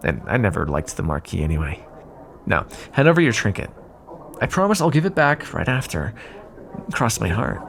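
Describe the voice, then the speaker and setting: A man's voice: fairly low pitch, big pitch swings, normal speed, gravelly, fairly bright tone, a little hiss or hum, full character voice. A man playing a sly, teasing vampire in an intimate, sarcastic ASMR roleplay monologue. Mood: playful, impatient. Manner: sarcastic, teasing, drawling asides. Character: sly teasing male vampire bargaining with his hunter rival.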